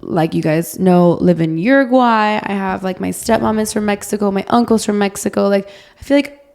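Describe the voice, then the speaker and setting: A woman's voice: mid-range voice, normal speed, creaky, a little mellow. A young woman whose first language is Portuguese, co-hosting a podcast in casual, playful, informal chat. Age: young adult. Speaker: podcast co-host.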